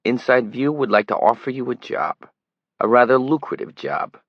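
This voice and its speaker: Man putting on a high nasal Brooklyn reporter, pushy, glib, loud, gravelly, dull lo-fi sound. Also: normal speed, no room sound, close to an everyday voice. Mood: smooth pushy. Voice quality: nasal, flat. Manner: pushy, glib salesman patter. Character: brash male tabloid reporter from the city.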